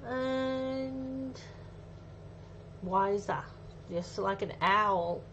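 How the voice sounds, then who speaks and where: A woman in her forties talks casually at an unhurried pace, hosting a craft livestream. She thinks aloud and chats with viewers as she works. The sound is slightly dull, with faint noise behind her. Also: medium pitch, fairly bright tone. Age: 40s. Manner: chatty, thinking aloud, reading out comments. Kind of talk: casual live craft demonstration, chatting with viewers. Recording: muffled audio with faint background noise.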